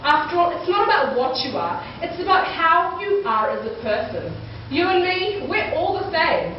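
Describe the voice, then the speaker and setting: A woman's voice: very high-pitched, sing-song, normal speed, slight background noise. A teenage girl from New Zealand delivering a prepared speech-contest oration in an emphatic, rhetorical way. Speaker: student speaker. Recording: echoey hall, distant dull mic.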